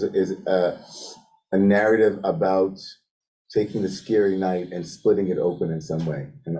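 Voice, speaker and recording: A man leading a lively study discussion as rabbi, thinking aloud with many restarts and "like" fillers. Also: deep voice, rough recording. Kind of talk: animated teaching discussion. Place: synagogue room with a Zoom link.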